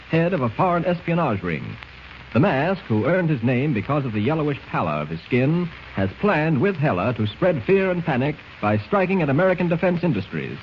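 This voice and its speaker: A man narrating a scripted 1940s radio serial as announcer, dramatic and emphatic. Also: a little high. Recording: dull, noisy old radio recording.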